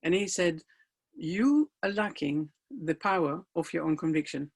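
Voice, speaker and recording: High gravelly-voiced coach, non-native speaker. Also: male voice, lively intonation, measured pace. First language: not English. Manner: earnest storytelling, emphatic rises. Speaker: life coach. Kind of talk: personal motivational talk.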